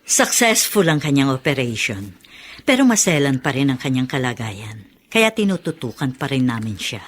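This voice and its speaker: A woman's voice: extremely low pitch, big pitch swings, normal speed, heavily acted. A woman playing a frank, cautious doctor in a radio drama. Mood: grave, frank. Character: frank, cautious doctor giving a guarded prognosis.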